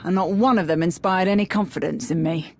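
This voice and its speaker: A woman's voice: fairly low pitch, fairly quick, slight background noise, close to an everyday voice. Blunt, sardonic actress as a female agent. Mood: wry, doubtful. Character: wry, skeptical, no-nonsense female agent. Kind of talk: scripted game voice acting. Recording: music behind the voice.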